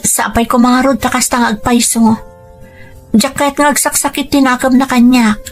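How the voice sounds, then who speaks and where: A woman's voice: high voice, level tone, normal speed, faint music behind. A woman playing a hurt, devoted grown daughter in a sentimental family drama. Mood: hurt, pleading. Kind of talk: sentimental drama phone dialogue.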